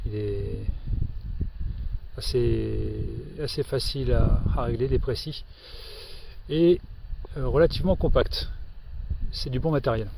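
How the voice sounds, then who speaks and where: A man giving an informal hands-on equipment demonstration as an amateur radio operator, explaining things hesitantly and pausing to find his words. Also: mid-range voice, gravelly, noisy background.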